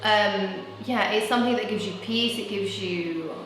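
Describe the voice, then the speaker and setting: A woman's voice: mid-range voice, normal speed, noisy background. A woman who owns a dance school, chatting in an interview in a room of her school. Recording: echoey hall, mic far off.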